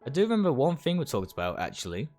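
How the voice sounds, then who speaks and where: A man narrates a visual novel in the first person over soft guitar music. His mid-pitched voice moves around in lively swings. He sometimes trips on a word and reads the phrase again. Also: fast talker. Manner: stumbles over words and rereads phrases. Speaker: game streamer reading a visual novel aloud. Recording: music behind the voice.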